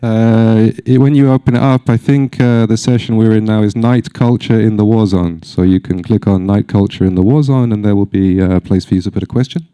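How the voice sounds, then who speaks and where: A man moderating a conference panel, explaining things in a relaxed, hesitant way with many fillers. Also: fairly low pitch, brisk, hardly any pauses, smooth, no echo.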